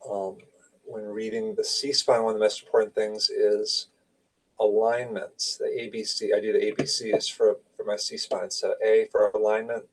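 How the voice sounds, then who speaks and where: A man's voice: fairly low pitch, flat delivery, normal speed, recorded from a distance, low-quality recording. A man giving an informal medical lecture, explaining slides in clipped, conversational phrases. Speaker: radiology lecturer.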